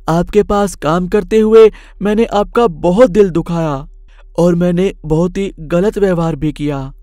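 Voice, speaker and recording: A man's voice: high voice, warm tone, no room sound, clean studio sound, pitched above the performer's own voice. A man voicing a whiny, repentant male servant in a cartoon moral story, pleading and deferential.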